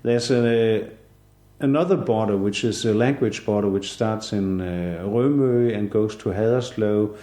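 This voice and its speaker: A man in his sixties from southern Denmark, a native Danish speaker, talking in a relaxed interview with many pauses, fillers and restarts. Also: fairly low pitch, reverberant. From southern Jutland, Denmark. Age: 60s. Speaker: artist interviewee.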